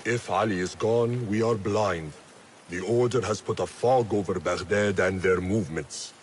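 A man's voice: on the low side, moderate pace, natural voice. Actor playing male order leader, grave, solemn, commanding, cinematic game acting, faint background noise.

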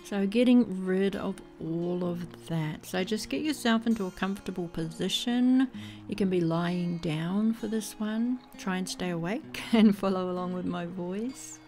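Woman doing a guided meditation, really slow n gentle, soft mellow voice, faint music behind her. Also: on the low side. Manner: soothing, gentle, unhurried, with pauses between phrases. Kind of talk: guided body scan meditation.

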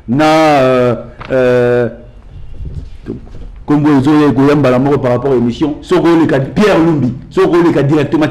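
A man's voice: mid-range voice, a little mellow. A middle-aged man with a Congolese accent hosting a political television interview, putting questions to a guest. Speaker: interviewer, host.

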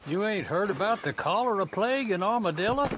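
An actor playing a folksy, morbid old frontier undertaker with an in a video game. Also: male voice, sits high, animated, fairly quick, a bit bright, a little hiss or hum. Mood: matter-of-fact. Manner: drawling, folksy. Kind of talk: western video game acting.

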